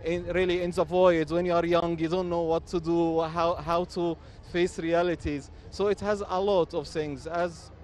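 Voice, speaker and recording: A man answers as a publisher guest in a measured, pausing way. His voice sits somewhat high for a man. Faint background noise runs under the recording. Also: flat delivery, darkish tone. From Egypt. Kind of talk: interview answers.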